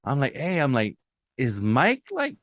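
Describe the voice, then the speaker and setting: A laid-back young man co-hosting a podcast, telling stories in a loose, slangy way with Spanish words mixed in. His voice sits at an ordinary pitch for a man. The recording is dry and close, with no room sound. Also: wildly swooping pitch, measured pace, dry recording. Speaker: podcast co-host. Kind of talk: casual chat.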